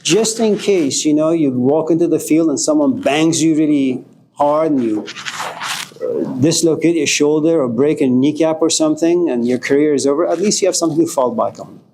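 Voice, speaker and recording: A man's voice: on the high side, normal speed, warm tone, slight background noise, low-quality recording. A middle-aged man from Iran gives an informal philosophy lecture, passionate and emphatic, swearing at times.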